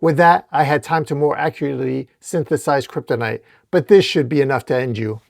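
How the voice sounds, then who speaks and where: Man as a bitter male mad scientist, smug, measured, comic-book villain, dry recording. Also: medium pitch, creaky, no room sound, natural voice. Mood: smug, menacing.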